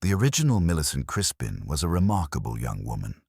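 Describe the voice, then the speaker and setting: A man voicing a composed, prim woman librarian in an audiobook mystery, speaking quietly with precise diction. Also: rumbling low, very animated, quick pace, creaky, breathy edge, dry recording, studio quality, heavily acted. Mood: quiet defiance.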